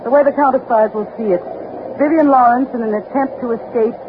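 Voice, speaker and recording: An actress playing a cool, ruthless spy in an old-time radio drama, speaking in a clipped, commanding, politely menacing way. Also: female voice, fairly high pitch, brisk, lightly acted. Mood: menacing, controlled. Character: cool, ruthless female spy posing as a government agent. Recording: tinny, noisy old radio broadcast.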